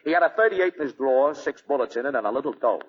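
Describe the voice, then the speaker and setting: A man's voice: mid-range voice, lively intonation, fast, close to an everyday voice. A man acting a plain-spoken, obliging police detective, clipped and matter-of-fact, in 1940s radio style. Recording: dull lo-fi 1940 radio broadcast.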